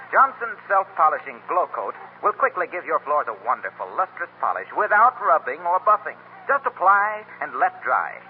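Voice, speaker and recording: A man announcing a 1930s radio commercial in a polished, salesmanlike manner. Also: fairly high pitch. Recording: tinny 1930s radio broadcast, faint noise.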